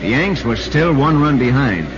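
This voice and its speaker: A male actor plays an American baseball reporter in an old radio drama. His delivery is earnest and insistent, with lively swings of pitch. The vintage recording is dull, with faint noise. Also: normal speed, lightly acted. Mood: dramatic storytelling. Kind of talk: 1940s radio acting, dramatic narration.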